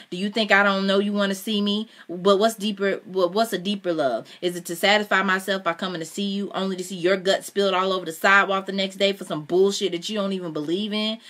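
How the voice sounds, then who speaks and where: A woman's voice: sits low, fairly bright tone. A woman voicing a proud, embattled man who hurls heated questions at his lover. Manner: heated, demanding rhetorical questions.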